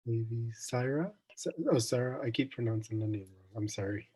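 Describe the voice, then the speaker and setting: Husky-voiced young man hosting. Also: mid-range voice, moderate pace, dark tone. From Jamaica. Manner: thoughtful, meandering, frequent ums and restarts. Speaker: student event host. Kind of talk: casual online discussion.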